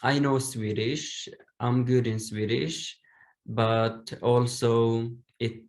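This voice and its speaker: A young man whose first language is Domari, speaking carefully and with many pauses as a panelist on a video call. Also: mid-range voice, flat delivery, a little mellow. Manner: slow, careful, pausing often. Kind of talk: online panel discussion.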